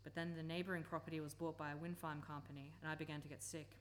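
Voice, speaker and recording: A woman's voice: low voice, little pitch movement, racing, slight background noise, lightly acted. A woman acting an earnest, persuasive campaigner and former country teacher in a live radio play. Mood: earnest, sorrowful.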